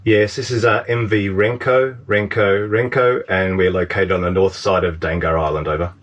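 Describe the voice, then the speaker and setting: A man's voice: low voice, fairly even intonation, normal speed, lo-fi sound. A man in his forties hosting a casual instructional vlog. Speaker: channel host.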